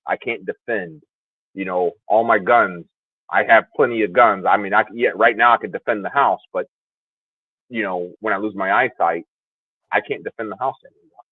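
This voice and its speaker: A man's voice: lowish voice, moderate pace, husky, no room sound. A man on a panel, talking hesitantly with repeated stammers and apologies. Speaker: panel participant. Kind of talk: casual panel talk. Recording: dry tinny phone-like line.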